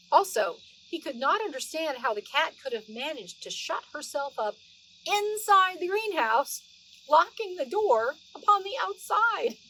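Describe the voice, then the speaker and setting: Female storyteller, middle-aged, high voice, expressive children's read-aloud with drawn-out words and chatty asides; faint background noise. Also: rough recording.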